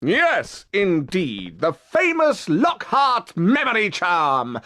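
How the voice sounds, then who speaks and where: A man's voice: high-pitched, wildly swooping pitch, slow pace, bright, forceful tone, exaggerated voice. A man playing a vain, cowardly, flamboyant middle-aged wizard professor in a video game, theatrical and pompous, with a British accent. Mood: smug, menacing.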